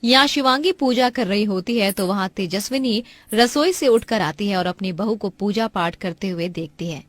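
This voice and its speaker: A woman's voice: medium pitch, normal speed, few pauses, dry recording. A woman reading scripted story narration as the narrator.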